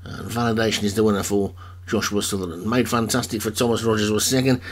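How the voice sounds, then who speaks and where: A man commentating a video game horse race for an online league, chatting between calls, his voice getting croaky. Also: on the low side, flat delivery, fast talker.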